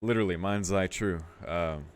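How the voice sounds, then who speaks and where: A man's voice: deep voice, normal speed, smooth. A man hosting a let's play, reading a visual novel aloud with informal, amused commentary. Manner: amused, sweary, informal asides.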